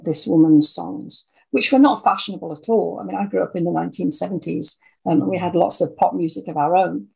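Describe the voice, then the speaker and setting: A woman's voice: on the low side, fairly quick, gravelly, few pauses, darkish tone, slightly rough, rough recording. A woman in her sixties, an interview guest telling her own story in a reflective, conversational way. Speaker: audio drama writer and producer, interview guest.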